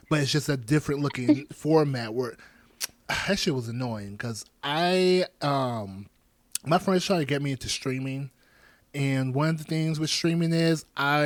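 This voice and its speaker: A man in his thirties hosting a podcast, chatting casually with animated, joking asides. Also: on the high side, no room sound. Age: 30s. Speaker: podcast host.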